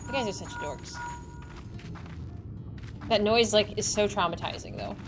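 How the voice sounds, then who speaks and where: A young woman streaming a game, chatting excitedly with a slightly squeaky voice, over faint game music. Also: a little slow. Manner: excitable, chatty, laughing often. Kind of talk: casual chat while playing a game.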